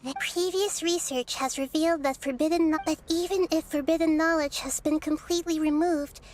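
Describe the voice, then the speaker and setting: Woman voicing a tiny chirpy high-pitched fairy companion. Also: fairly quick, lightly acted. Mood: earnest explaining. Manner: chirpy, expressive, eager. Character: small excitable childlike female fairy companion. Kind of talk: video game voice acting.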